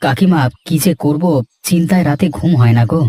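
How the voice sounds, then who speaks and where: A man's voice: a little high, fairly quick, warm tone, natural voice. A man playing a simple, troubled young villager in a cartoon, speaking in a humble, plaintive way. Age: young adult. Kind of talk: Bengali moral-story cartoon voice acting.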